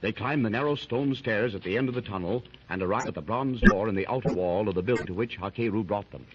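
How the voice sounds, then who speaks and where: A man's voice: on the low side, fast talker, background noise. Dramatic 1930s radio narrator, man. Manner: dramatic, rising with the action. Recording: dull lo-fi 1930s radio recording.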